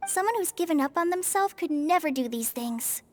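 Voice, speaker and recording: A woman's voice: very high voice, lively intonation, normal speed, slight background noise. An actress voicing a kind, sincere, encouraging young girl in a video game cutscene, with an earnest, reassuring manner. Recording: game music under the voice.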